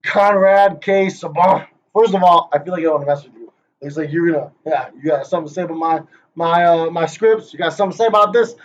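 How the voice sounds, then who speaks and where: A man's voice: on the high side, fast talker. A man rehearsing a playful conference presentation, joking, hyping up and teasing the people he names. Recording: dull lo-fi recording.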